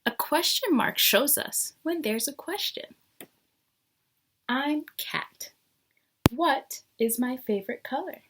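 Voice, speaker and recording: A woman's voice: high voice, lively intonation, slow pace, long pauses, background noise. A woman teacher reading a picture book aloud to young children, explaining things simply and asking them questions.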